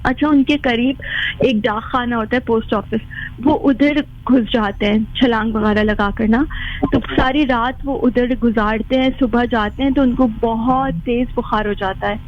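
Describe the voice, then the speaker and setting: High-voiced woman on a dull phone line. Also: fairly even intonation, moderate pace. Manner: mixes in English words. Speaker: caller to a radio show. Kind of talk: casual personal storytelling. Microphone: phone call.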